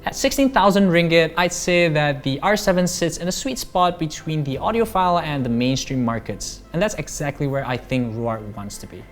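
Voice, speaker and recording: A man's voice: on the high side, animated, normal speed, hardly any pauses, soft background music. A man in his thirties with a Malaysian accent, narrating a scripted product review as a tech reviewer.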